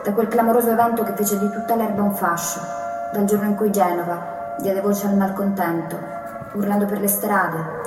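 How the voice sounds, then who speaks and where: A woman performs a grave, defiant narrator of protest on stage. Her delivery is solemn and declamatory, heard at a distance in a reverberant hall, with clear music playing underneath. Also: medium pitch, close to an everyday voice. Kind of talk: dramatic scripted theatrical monologue.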